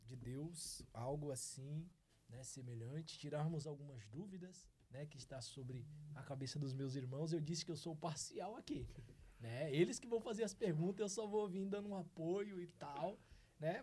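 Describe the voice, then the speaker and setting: A man's voice: a little high, moderate pace, dark tone. A man hosting a casual church podcast, playful and teasing, often cutting in. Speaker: podcast host.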